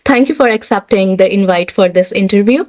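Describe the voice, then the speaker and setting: A woman's voice: medium pitch, a little fast, a little mellow. A woman interviewer welcoming a guest and asking prepared questions in a formal, careful way.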